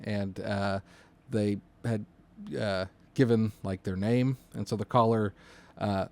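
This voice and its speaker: A man in casual podcast conversation as a co-host and dispatcher, informal and halting as he thinks aloud. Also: lowish voice, steady tone, a little mellow.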